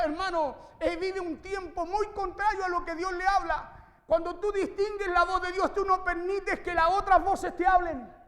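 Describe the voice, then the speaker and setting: A man's voice: very high-pitched, sharp tone. A man preaching an impassioned sermon to a congregation, exhorting listeners and repeating phrases for emphasis. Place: large reverberant room, preaching to a congregation. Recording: reverberant hall sound.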